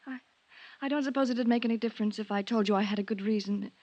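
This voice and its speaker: An actress playing an anxious, guilty wife who pleads hesitantly in a melodramatic 1950s radio drama style. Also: female voice, fairly high pitch, fast, a little mellow, dry recording. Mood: defensive, distressed. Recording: dull vintage 1950s radio recording.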